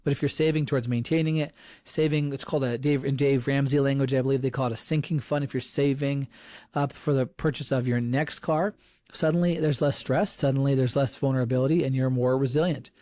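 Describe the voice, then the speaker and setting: A man's voice: medium pitch, steady tone, a little fast, dark tone, dry recording, studio quality. A middle-aged man hosting a podcast, giving earnest advice in a casual way and often restarting his sentences. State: slightly froggy voice. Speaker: podcast host.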